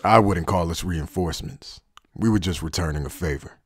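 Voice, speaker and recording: A man playing a cynical, coolly defiant outcast in an anime fan dub, with a deep, husky, mocking delivery. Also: moderate pace, dry recording, clean studio sound. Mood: scornful. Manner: mocking, sardonic.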